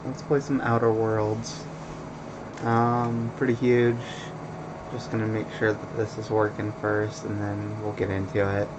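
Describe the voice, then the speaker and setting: A man commenting on his game stream in a flat, monotone way, taking his time with a slow, unhurried pace. His voice sits on the lower side. A clear air-conditioning hum runs underneath. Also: dull audio. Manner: monotone, laid-back with fillers. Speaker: let's play streamer. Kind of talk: casual gameplay commentary.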